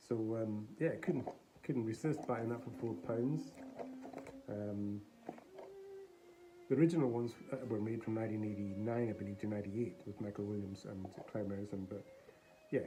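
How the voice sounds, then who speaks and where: A man chatting casually to viewers in a rambling, halting way about something he bought. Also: fairly low pitch, warm tone, poor audio. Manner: rambling, hesitant, halting delivery. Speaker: collector showing a charity shop buy. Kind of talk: casual vlog chat. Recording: faint background noise.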